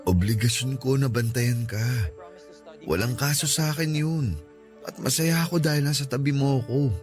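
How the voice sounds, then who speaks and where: A man's voice: mid-range voice, normal speed, darkish tone, close to an everyday voice. A man playing a devoted, protective young boyfriend in a sentimental radio romance drama, earnest and emotional. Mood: caring. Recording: music under the voice.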